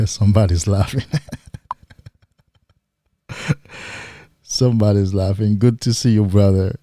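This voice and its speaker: A man talks slowly and deliberately on a livestream, his voice low and mellow. He leans on rhetorical questions and emphatic repetition, and the sound is dry and close. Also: dry recording. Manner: mimics excited men in a higher voice. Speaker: livestream host. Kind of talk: motivational livestream talk.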